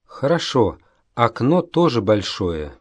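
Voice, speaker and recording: A man playing a polite young Japanese man named Tsubasa in a language-course dialogue, reading in a careful, deliberate way. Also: mid-range voice, sing-song, slightly rough, no echo, exaggerated voice. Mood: plain. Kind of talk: slow, clear textbook dialogue reading.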